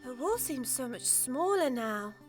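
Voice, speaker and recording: A woman's voice: high voice, big pitch swings, a little slow, faint music behind. A woman playing an earnest young adventurer girl, plain-spoken and a little unsure, in a family audio drama. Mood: wistful, anxious.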